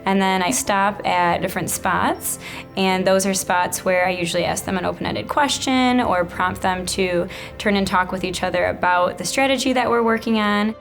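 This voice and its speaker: A woman's voice: mid-range voice, steady tone, normal speed, soft background music. A woman kindergarten teacher explaining lessons in an instructional way and talking through a picture book. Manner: instructional, repeats and expands on answers. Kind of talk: explaining teaching and leading an interactive read-aloud.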